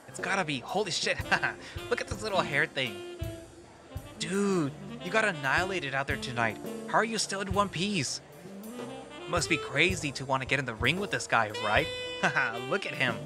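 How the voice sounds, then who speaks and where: A man's voice: medium pitch, voice pushed up, lightly acted. A man voicing a pack of rowdy, drunk male animal bar-goers who jeer and laugh, with music behind. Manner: jeering, laughing.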